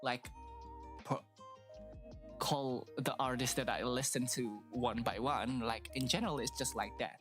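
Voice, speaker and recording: A woman's voice: very deep voice, measured pace, music in the background. A woman streamer with a stuffy nose chatting casually and rambling, with lots of fillers and hesitations. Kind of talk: casual live-stream chat.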